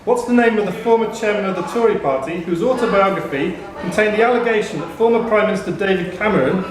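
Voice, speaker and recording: Guy reading pub quiz questions, high voice, really echoey room, punters behind. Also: fast talker. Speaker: quiz host and question reader. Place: indoor pub quiz venue. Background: pub crowd.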